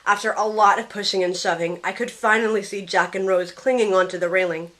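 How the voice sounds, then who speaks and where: A woman's voice: mid-range voice, steady tone, a little fast, a bit bright, natural voice. A woman playing a grieving young female survivor, narrating her story earnestly in an amateur audio drama. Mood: sorrowful. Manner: earnest storytelling, tearful in dialogue.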